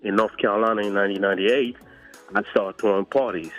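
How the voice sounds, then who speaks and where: A middle-aged man. He talks conversationally as an interview guest, pausing often as he picks his words, with music playing behind him. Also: on the low side, steady tone, a bit bright, poor audio. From Democratic Republic of Congo. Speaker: guest, entertainment producer.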